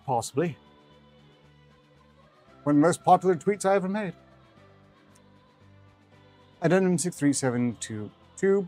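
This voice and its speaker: A man's voice: mid-range voice, dark tone. A man reading fiction aloud as a streamer, with dry, rambling asides in formal wording. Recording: music behind the voice.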